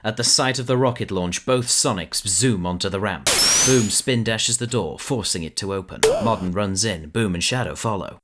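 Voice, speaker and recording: A man's voice: lowish voice, moderate pace, hardly any pauses, background noise. A man reading scripted narration for a fan audio drama.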